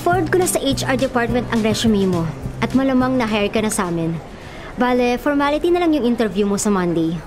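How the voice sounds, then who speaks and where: An actress playing a playful, bossy young matchmaker friend in a Filipino radio drama, breezy and teasing. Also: female voice, on the high side, animated, a little fast, music in the background, natural voice. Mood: breezy, pleased.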